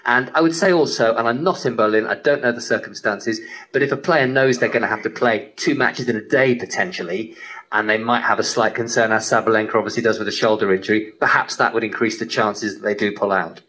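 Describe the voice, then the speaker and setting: A man reporting and analysing as a tennis correspondent on live radio, in a measured, careful way, heard over shortwave. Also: lowish voice, fairly bright tone, rough recording. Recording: shortwave radio broadcast reception.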